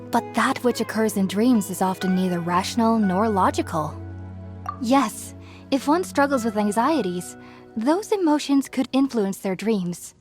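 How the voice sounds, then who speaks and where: A woman playing an earnest, gentle young researcher in a video game, explaining things in a thoughtful, articulate way. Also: medium pitch, close to an everyday voice. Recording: music behind the voice.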